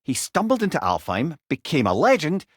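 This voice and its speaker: A man's voice: fairly high pitch, wildly swooping pitch, moderate pace, breathy edge, no room sound, clean studio sound, exaggerated voice. A man voicing a witty, wise old storyteller in a video game, with a Scottish accent and an eloquent, wry, conversational manner. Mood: curious, prompting. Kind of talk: dramatic video game dialogue.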